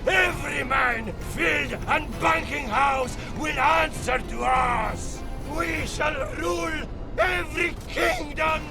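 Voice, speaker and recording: An actor playing a vain, scheming megalomaniac count in a video game, declaiming in a bombastic, grandiose way. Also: male voice, extremely high pitch, slow, shouting, over music, full character voice. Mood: grandiose, fervent. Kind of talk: theatrical video game villain acting.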